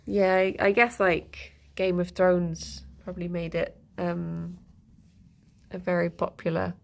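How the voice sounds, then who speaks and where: A woman's voice: on the low side, flat delivery, takes it slowly, vocal fry. A woman streaming live, chatting casually with her viewers and answering their messages in a rambling, giggly way. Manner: chatty and rambling, laughs often. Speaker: streamer reading chat.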